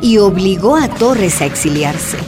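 A woman's voice: mid-range voice, animated, measured pace, music in the background. A woman reading scripted narration for a historical radio drama.

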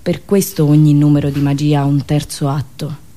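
A woman performing a satirical storytelling monologue, dramatic and ironic, with pauses and drawn-out words. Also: deep voice, level tone, mellow. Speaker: radio host and monologist.

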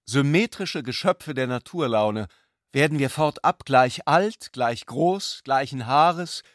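A man's voice: mid-range voice, animated, slow pace, dry recording, studio quality. Eloquent, ironic man, an intellectual brother. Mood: playful irony. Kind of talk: literary radio drama.

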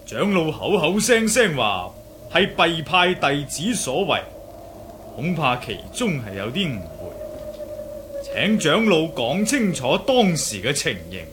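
An old shaolin monk elder, cantonese, solemn n formal, high swooping voice, bit of noise behind. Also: male voice, sing-song. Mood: courteous, solemn. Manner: formal, measured, pious. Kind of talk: Cantonese martial arts radio drama acting.

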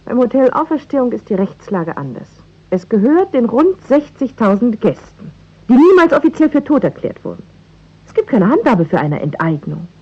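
A woman's voice: a little high, sing-song, moderate pace, slightly rough, dull audio. A woman acting an earnest, determined daughter of a missing scientist in a 1960s German radio play, speaking insistently.

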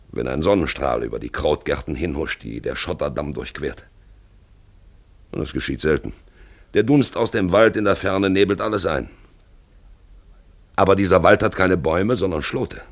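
A man playing an eloquent narrator in a 1950s German radio play, addressing the listener directly in a dramatic, rhetorical way. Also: deep voice. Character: eloquent male narrator guiding the listener through a drab town.

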